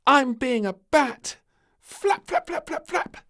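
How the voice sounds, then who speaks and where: A stubborn little princess from a children's storybook, acted out by a man, squeaky and very high. She is loud and whiny, swooping up and down as she drags out her demands. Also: sing-song, slow, full character voice. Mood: playful. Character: stubborn, dramatic little princess, a small girl.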